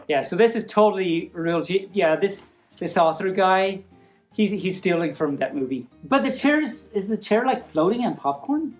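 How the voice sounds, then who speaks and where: A woman's voice: medium pitch, poor audio. A woman reading a picture book aloud informally, playful and rambling, with easily sidetracked asides.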